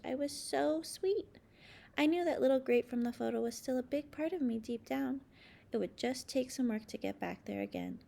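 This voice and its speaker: A woman narrates a children's story aloud. Her voice sits a bit high and often crackles into creak. She reads expressively, leaning on key words with dramatic emphasis. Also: darkish tone. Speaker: storybook reader.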